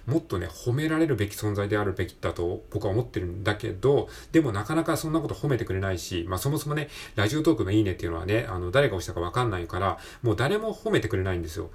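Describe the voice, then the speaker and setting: Male podcast host, rough-edged voice, lively swooping intonation, casual motivational delivery that keeps seeking agreement. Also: on the low side, rapid-fire, hardly any pauses. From Japan. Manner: encouraging, conversational, repeatedly seeking agreement. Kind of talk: casual solo motivational talk.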